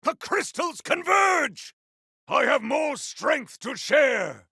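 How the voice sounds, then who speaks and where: Game hero: grandiose, vengeful elemental warrior, very gravelly and forceful, theatrical archaic combat lines. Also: male voice, very high-pitched, sing-song, slow, dry recording, clean studio sound, exaggerated voice. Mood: fierce, bombastic. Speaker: voice actor for a video game character. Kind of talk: video game combat barks.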